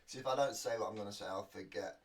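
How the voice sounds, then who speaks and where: A man's voice: lowish voice, fast talker. A man hosting a reaction video in casual, rambling chat, sounding a little sniffly from a cold. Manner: rambling, self-deprecating asides. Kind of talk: casual chatty commentary. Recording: distant mic with faint noise.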